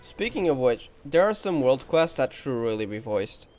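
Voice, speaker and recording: A man's voice: mid-range voice, very animated, normal speed, darkish tone. A man casually commentating on a game playthrough live, chatty and laid-back in his short reactions. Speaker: game streamer. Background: game music.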